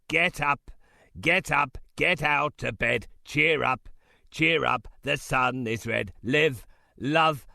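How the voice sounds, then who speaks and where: A man performs a sly storybook wolf with a gruff, gravelly voice. He drags out every word, coaxing and hungry, with a forceful edge. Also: mid-range voice, exaggerated voice. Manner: slow, wheedling. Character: hungry, sly big bad wolf posing as mother goat. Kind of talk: children's storybook character acting.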